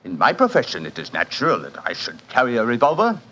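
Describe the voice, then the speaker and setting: An actor playing a suave, fatalistic French casino manager in a 1940s radio drama, courtly and theatrical, with a French accent. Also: male voice, medium pitch, wildly swooping pitch, fast, pushed and bright, heavily acted. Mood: fatalistic, proud. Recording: muffled vintage 1940s radio broadcast.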